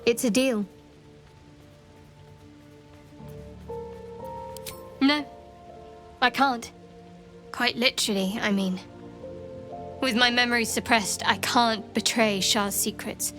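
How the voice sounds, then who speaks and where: A woman playing a guarded, secretive young cleric in a video game, speaking in a reserved, measured, dryly wry way. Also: a little high, music in the background, natural voice. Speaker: video game companion character. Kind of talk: dramatic game dialogue.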